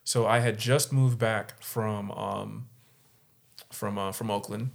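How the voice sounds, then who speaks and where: A man co-hosting a casual podcast, telling a story in an animated way and acting out the people in it. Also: fairly low pitch, slow, creaky. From San Diego area. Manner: animated, acts out quoted voices. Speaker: podcast co-host.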